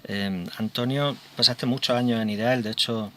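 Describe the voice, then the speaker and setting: A man hosting a local radio interview, asking measured, conversational questions. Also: medium pitch, steady tone, a bit bright. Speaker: radio host.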